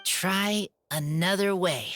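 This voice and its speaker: A woman voicing an earnest, shy teenage wild boy who speaks in halting, simple phrases in an anime-style game. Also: fairly low pitch, a bit bright, slight background noise.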